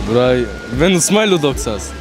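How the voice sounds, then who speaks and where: A man's voice: medium pitch, very animated, normal speed, noisy background. A young man answering a street interviewer on a city square, slangy and laid-back. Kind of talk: casual street chat.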